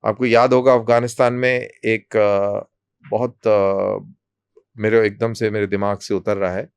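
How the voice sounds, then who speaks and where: Clear-voiced man explaining deliberately. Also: on the low side, little pitch movement, no room sound. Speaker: army colonel, interview guest. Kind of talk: explanatory interview talk.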